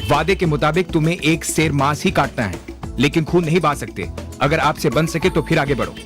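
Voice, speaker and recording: A man plays a clever royal courtier in a cartoon moral tale. He speaks in a fairly high voice, composed and deliberate, over clearly audible music. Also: natural voice. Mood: cool, firm. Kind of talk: animated moral story acting in Hindi. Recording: music behind the voice.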